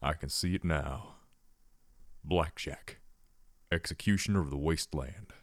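A man voicing a gruff, weary old male pony with a folksy, taunting manner, in an audiobook-style story. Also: very deep voice, a little slow, no room sound, studio quality, voice pushed down. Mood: grimly mocking.

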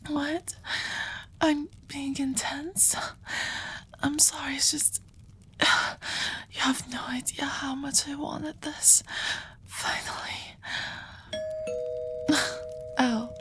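A woman voicing an anxious, devoted young female vampire who confesses her love in a soft, hesitant whisper, in an intimate audio roleplay. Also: sits high, steady tone, extremely slow, long pauses, full character voice, rough recording. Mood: tender, confessing.

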